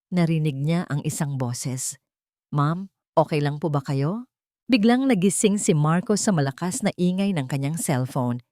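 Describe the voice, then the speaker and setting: A woman narrating a dramatic story in a steady, scripted storytelling manner. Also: lowish voice, no room sound, clean studio sound.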